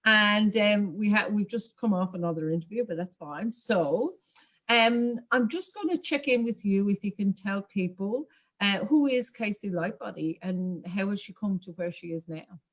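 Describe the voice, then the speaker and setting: A woman's voice: mid-range voice, expressive, normal speed, fairly bright tone, low-quality recording. Woman hosting a video call interview. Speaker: interview host.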